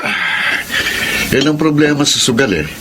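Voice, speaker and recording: An actor playing a stern, cautioning friend in a Filipino radio drama, emphatic and admonishing, with a Filipino accent. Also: male voice, mid-range voice, lively intonation, a little slow, noisy background, natural voice.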